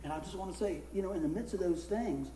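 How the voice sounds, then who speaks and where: A middle-aged man, a pastor, preaching a casual sermon with a hoarse voice that he is losing, folksy and animated in his stories. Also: fast, roomy sound, a little hiss or hum, poor audio. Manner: folksy, animated storytelling with rising pitch in anecdotes. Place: church.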